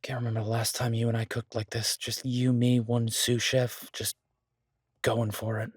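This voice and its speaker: A man acts out a bashful, loving young chef in a boyfriend roleplay drama. His mid-pitched voice is slightly husky and recorded very dry. He talks haltingly and puts himself down with gentle, affectionate banter. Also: completely flat delivery, dry recording, lightly acted. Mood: tender. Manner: halting, self-deprecating, affectionate, chuckling banter. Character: Beau, warm, shy, flustered male chef and devoted boyfriend. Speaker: audio roleplay performer. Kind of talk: intimate boyfriend roleplay audio drama, conversational.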